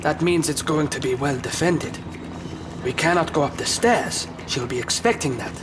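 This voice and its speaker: Male actor as cryptic inspector, slightly high pitch, measured, matter-of-fact, audio drama, noisy ambience. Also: lightly acted. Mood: calm, cautious. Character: calm, cryptic male police inspector, demon hunter's partner.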